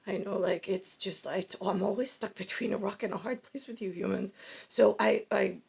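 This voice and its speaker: A woman giving a rambling, off-the-cuff monologue in a halting, digressive way, often breaking off mid-sentence, in a husky, gravelly voice. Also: medium pitch, fairly even intonation, a little mellow. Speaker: speaker in a personal monologue.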